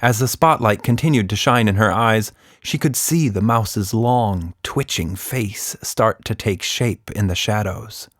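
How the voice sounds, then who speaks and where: A man's voice: lowish voice, measured pace, hardly any pauses, no echo, clean studio sound. A man hosting a podcast for children, chatting casually and narrating a story.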